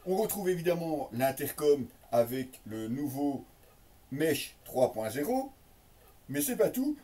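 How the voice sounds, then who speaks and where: Guy doing an unboxing, bit far from the mic, soft mellow tone, lots of euh pauses. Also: mid-range voice. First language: French. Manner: hesitant, piecemeal phrasing with frequent drawn-out euhs. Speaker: motorcycle gear reviewer. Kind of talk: unboxing presentation, casual unscripted commentary. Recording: slightly distant microphone.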